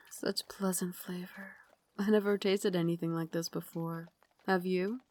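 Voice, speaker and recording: Woman acting as a genteel victorian vampire lady, lowish voice, formal and breathy, asmr roleplay. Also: steady tone, measured pace, darkish tone. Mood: poised, wistful. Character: refined Victorian vampire lady, tender but tormented. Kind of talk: ASMR roleplay, dramatic monologue.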